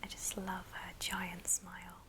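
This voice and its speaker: A woman whispering softly and breathily in a gentle, affectionate ASMR show and tell. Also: fairly low pitch, monotone, a little slow, background noise. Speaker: ASMR video host.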